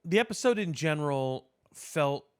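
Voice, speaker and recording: A man co-hosting a podcast, talking casually about pop culture in wordy, measured phrasing. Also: a little high, lively intonation, clear, resonant tone. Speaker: podcast co-host.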